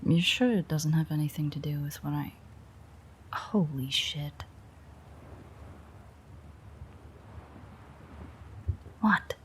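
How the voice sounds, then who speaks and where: A woman playing a weary, sardonic, teasing female vampire in an intimate audio roleplay, with a breathy, husky voice that often drops near a whisper and a dry, sarcastic way of talking. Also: sits low, unhurried, slight background noise. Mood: exasperated, bossy. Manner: dry, rambling, sarcastic banter.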